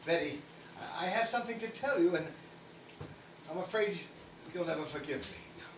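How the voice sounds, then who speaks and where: A man playing a gentle, guilt-ridden old father in a stage play, hesitant and apologetic, recorded far off with noise. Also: sits high, normal speed, lightly acted. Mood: anxious, confessing. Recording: echoey stage from far off, noisy.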